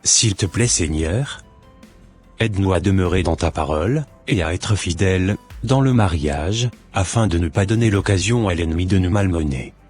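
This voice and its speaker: Casting: male actor as devout, forgiving cartoon husband. Low-pitched, earnest, measured delivery, light music underneath. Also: a little mellow, slightly rough. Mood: solemn, prayerful.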